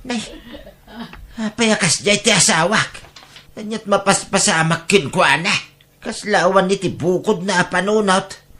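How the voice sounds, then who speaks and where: A woman's voice: medium pitch, a little slow, a bit bright, close to an everyday voice. A woman playing a wary adult friend in a family radio drama, reproachful and exclaiming. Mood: troubled.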